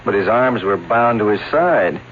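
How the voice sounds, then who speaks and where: An actor playing a patient, persistent police lieutenant in a 1970s radio drama, politely probing in a measured, hesitant way. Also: male voice, lowish voice, expressive, close to an everyday voice. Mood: patient, insistent. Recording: muffled, faintly noisy old radio broadcast.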